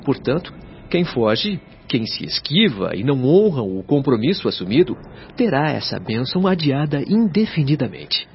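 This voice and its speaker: Actor as solemn spirit guide, animated intonation. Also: male voice, medium pitch, moderate pace, creaky, a little hiss or hum. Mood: grave, admonishing. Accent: Brazilian. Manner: solemn, articulate, instructive, formal diction. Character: male spirit guide Lísias, patient, earnest, learned teacher. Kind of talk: Brazilian radio drama acting, didactic. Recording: dull lo-fi sound, faint music behind.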